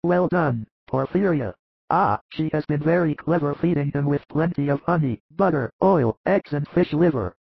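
A man voicing a proud, doting fisherman father in a gravelly, husky voice for a dramatized scripture story. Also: a little high, lively intonation, normal speed, no echo, lo-fi sound, pitched above the performer's own voice, exaggerated voice. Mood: proud, delighted.

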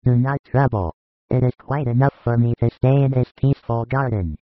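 Calm, grave teacher, played by a man, phone-like sound. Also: medium pitch, no echo, lightly acted. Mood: gentle, calm. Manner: measured, solemn, consoling. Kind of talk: dramatised audiobook dialogue, scripted. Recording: dry, narrow phone-like sound.

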